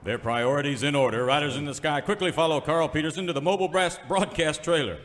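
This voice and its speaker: An actor playing a portentous, dramatic announcer narrating a parody of an old radio serial. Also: male voice, fairly high pitch, quick pace, fairly bright tone, in a large hall, natural voice.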